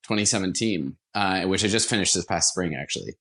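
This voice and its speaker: A man's voice: deep voice, lively intonation, a little fast, a bit bright. A man in his twenties from the United States, speaking as a podcast guest and telling anecdotes conversationally with light, self-deprecating humor. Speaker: podcast guest, actor and theater company founder. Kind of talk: conversational interview storytelling.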